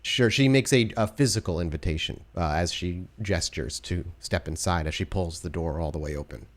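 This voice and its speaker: A man's voice: low-pitched, normal speed. A man working as game master, improvising descriptive narration for a tabletop horror role-playing game.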